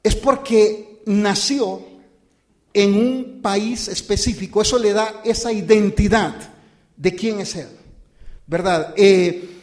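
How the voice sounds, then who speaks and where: Un predicador de voz alta y tono coloquial habla a su congregación con pausas, titubeos y arranques repetidos. Su sermón suena informal, como una conversación con los hermanos. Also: male voice, high-pitched, a little mellow. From born outside the United States. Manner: informal, halting, with many fillers and restarts; addresses listeners as brothers and "usted". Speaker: pastor. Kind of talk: conversational sermon.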